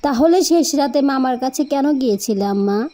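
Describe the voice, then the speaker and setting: Woman playing an earnest, high-voiced teenage girl. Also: expressive, moderate pace, mellow. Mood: respectful, questioning. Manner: polite, careful standard speech. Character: earnest, troubled teenage village girl in a cartoon.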